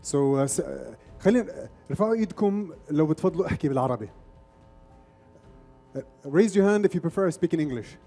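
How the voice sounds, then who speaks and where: A man's voice: on the high side, expressive, rapid-fire, gravelly, warm tone, faint music behind. A middle-aged man, an Arabic speaker, giving an informal, interactive stage presentation. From Lebanon, grew up in Saudi Arabia. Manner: informal, switches between Arabic and English. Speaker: conference speaker, media executive.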